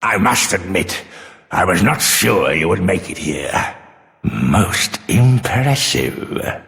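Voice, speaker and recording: A man's voice: sits low, very animated, takes it slowly, creaky, a bit bright, full character voice. A man playing an arrogant, menacing monster servant of the underworld in a fantasy game, sneering melodramatically in long reverb. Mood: menacing, gloating. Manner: sneering, savoring, melodramatic villain delivery. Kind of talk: fantasy video game villain acting.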